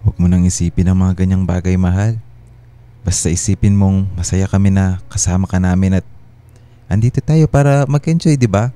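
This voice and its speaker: A man's voice: low-pitched, a little fast, mellow, clean tone, natural voice. A man acting in a radio drama as a defensive husband who placates and pleads his innocence. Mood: soothing, evasive. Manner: pleading, reassuring. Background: background music.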